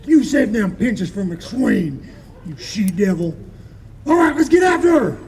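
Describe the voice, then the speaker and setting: A man's voice: high voice, sing-song, measured pace, darkish tone, full character voice. A man playing a blustering Old West sheriff in an outdoor reenactment, hollering in folksy frontier slang. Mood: riled up. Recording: noisy outdoor recording.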